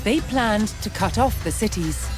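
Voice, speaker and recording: A woman giving measured, formal scripted narration in the style of a historical documentary for a game. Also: medium pitch, expressive. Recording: music behind the voice. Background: background music.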